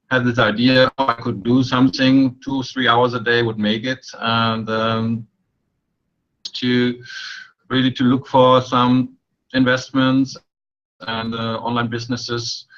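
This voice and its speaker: A man in his sixties from Germany with a German accent, introducing himself haltingly on a webinar call with many fillers and a dry joke. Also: mid-range voice, bright, forceful tone. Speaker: webinar guest. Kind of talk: casual self-introduction. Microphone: video call. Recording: dull lo-fi call audio.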